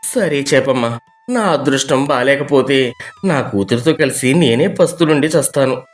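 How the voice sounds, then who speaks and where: Animated fable role: man as struggling fisherman father, earnest, heartfelt and pleading, medium pitch, light music bed. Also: moderate pace, natural voice. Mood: resigned, noble. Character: poor, desperate, kind-hearted fisherman, devoted father. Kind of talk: animated moral story dialogue.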